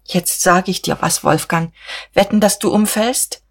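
A woman's voice: lowish voice, measured pace, slightly rough. A woman voicing a brash, provocative young fiancée in a novel reading, colloquial and challenging.

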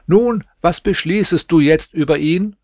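Male reader doing a wheedling old crone, lifted pitch, slow and dry. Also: no echo. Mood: pleading. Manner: coaxing, pleading storyteller's delivery. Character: scheming old woman go-between, coaxing. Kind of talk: audiobook reading.

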